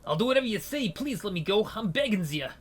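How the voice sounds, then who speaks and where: A man's voice: high-pitched, lively intonation, quick pace, slight background noise, voice pushed up. A man putting on a whiny, begging prisoner. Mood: desperate. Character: panicked, pleading male prisoner, a petty thief.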